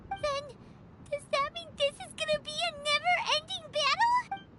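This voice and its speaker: A woman voicing a tiny, excitable game companion, with high squeaky startled questions. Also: unhurried. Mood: worried. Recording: game audio over ambient noise.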